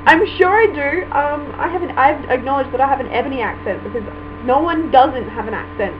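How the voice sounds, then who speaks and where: A woman's voice: high voice, a little fast. A young woman vlogging casually, goofy and self-mocking, also reading aloud from a book. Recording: muffled webcam audio with mains hum.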